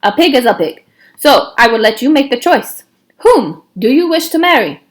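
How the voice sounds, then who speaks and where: Storybook father, acted by a woman: jovial bluster, loud exclamations, raised and very animated pitch. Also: moderate pace. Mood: hearty. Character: blustering, jovial father haggling over his daughter. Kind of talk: storybook read-aloud dialogue.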